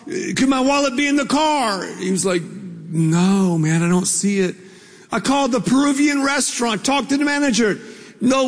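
A male pastor with a slightly high voice, preaching at an unhurried pace. He tells stories in a relaxed, joking way and talks straight to the congregation. The sound rings with the long reverb of a large church hall. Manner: storytelling, conversational, playful asides to the congregation. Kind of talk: sermon.

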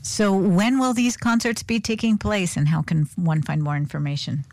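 A woman radio host asking measured, articulate interview questions. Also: fairly low pitch, fairly even intonation, a little mellow, no echo.

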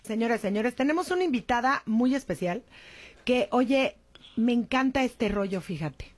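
Casting: high-pitched woman hosting a talk show, gushing and chatty. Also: expressive, moderate pace. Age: adult with a young daughter. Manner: effusive, chatty, repeats "señores, señores". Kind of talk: lively talk show interview.

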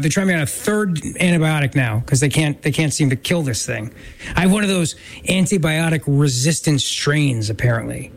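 A man hosting a talk radio show, chatty and self-deprecating, sounding stuffy from a sinus infection. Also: fairly high pitch.

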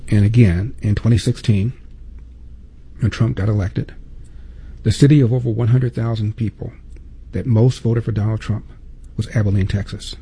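A man's voice: fairly low pitch, fairly even intonation, normal speed, pauses often, warm tone, husky. A man hosting a talk show, delivering an emphatic opinion monologue full of repeated points and rhetorical questions.

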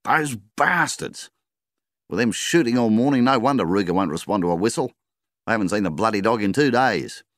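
A man's voice: medium pitch, huge pitch swings, unhurried, vocal fry, few pauses, bright, forceful tone, no room sound, clean studio sound, voice pushed up, exaggerated voice. A man reading a story aloud, voicing an angry male farmer who raises his voice in indignation.

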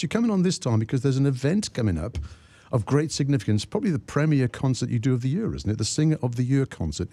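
A man's voice: mid-range voice, creaky, warm tone, no echo. A man who grew up in Wales, hosting a radio show and chatting enthusiastically with a guest. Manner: enthusiastic, chatty, leading questions. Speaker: radio host. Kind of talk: radio interview.

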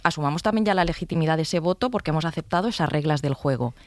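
A woman's voice: low voice, dry recording, studio quality. A woman, arguing a political point as a commentator on a radio panel. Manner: fast, forceful argumentation. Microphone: studio microphone.

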